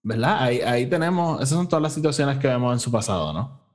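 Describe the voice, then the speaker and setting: A man's voice: medium pitch, animated, fast. A man with a Puerto Rican accent hosting a casual, informal review chat, mixing English phrases into his Spanish. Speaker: podcast host.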